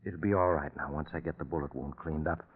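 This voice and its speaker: An actor playing a steady doctor who soothes and takes charge, in 1940s radio drama style. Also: male voice, very deep voice, fast talker, husky. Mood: soothing. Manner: soothing, authoritative. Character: steady, reassuring doctor friend of a nervous man. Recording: muffled vintage radio recording.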